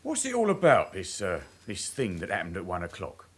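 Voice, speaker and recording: Lively young lad under suspicion. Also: male voice, low-pitched, brisk, husky, exaggerated voice. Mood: guarded, curious. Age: young adult. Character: young working-class London lad, crime suspect, guardedly cocky. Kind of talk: radio crime drama acting.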